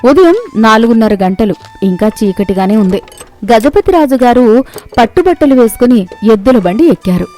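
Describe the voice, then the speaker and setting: High-voiced woman narrating a moral tale. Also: expressive, normal speed, warm tone, faint music behind. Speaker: storyteller.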